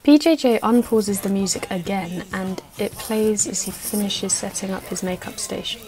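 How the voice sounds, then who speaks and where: A woman acting as narrator of a radio play, reading scripted stage directions in a measured, matter-of-fact way. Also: mid-range voice, close to an everyday voice.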